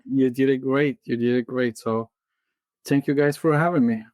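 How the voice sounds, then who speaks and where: A man in his thirties from Bulgaria with a Bulgarian accent, a guest in a casual interview who talks in a halting way, full of fillers and restarts. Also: medium pitch, fairly even intonation, darkish tone, no room sound. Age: 30s.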